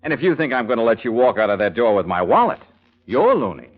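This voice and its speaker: A man's voice: mid-range voice, sing-song, quick pace. An American man acting a wry, no-nonsense private investigator in a 1940s-style radio drama. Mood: wry, insistent. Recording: dull vintage radio recording.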